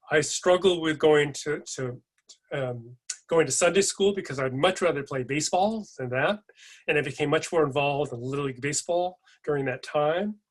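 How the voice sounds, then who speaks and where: A man's voice: fairly high pitch, fairly bright tone. A man in his late sixties from California, telling personal stories in a reflective keynote talk with a few fillers. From California, USA. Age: about 69. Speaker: keynote speaker.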